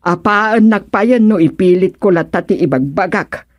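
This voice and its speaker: A woman acting in a Filipino radio serial as a devout, exasperated niece, with a Filipino accent and a plaintive, expressive way of talking. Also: mid-range voice, moderate pace, no room sound, clean studio sound, natural voice. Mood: dismayed, complaining. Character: devout, exasperated niece worried about a wayward uncle.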